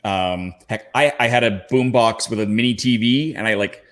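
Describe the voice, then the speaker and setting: A man's voice: fairly low pitch, moderate pace, fairly bright tone. Canadian man chatting on a panel. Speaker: podcast panelist and fan. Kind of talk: casual panel chat, personal anecdotes.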